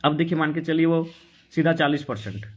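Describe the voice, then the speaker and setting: Insistent Jharkhandi man, higher voice, dull audio. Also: fairly quick. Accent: Jharkhandi Hindi. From Jharkhand, India. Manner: insistent, argumentative, full of rhetorical questions. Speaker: political activist. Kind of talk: impassioned political talk on a live stream, answering comments. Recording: dull, lo-fi sound.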